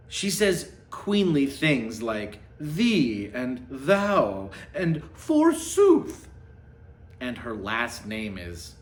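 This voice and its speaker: Sarcastic man reading aloud, swinging and roomy. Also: mid-range voice. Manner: mock-dramatic storytelling, sarcastic muttered asides. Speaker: host reading a children's book aloud with mocking commentary. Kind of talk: satirical storybook read-aloud with casual asides. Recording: roomy, echoing sound.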